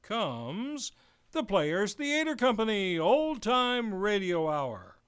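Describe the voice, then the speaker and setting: A man's voice: high-pitched, sing-song, takes it slowly, a bit bright, soft background music. A man hosting an old-time radio show, reading a scripted introduction in a grandiose, theatrical showman's manner. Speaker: radio show announcer and host.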